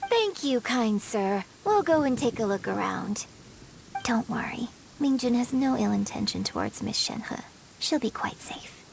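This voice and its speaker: A courteous young game heroine, soothing and poised. Her highish voice has a very lively melody and some creak. Faint noise sits behind her polite lines. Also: measured pace, poor audio. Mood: reassuring. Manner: polite, expressive. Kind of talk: video game voice acting.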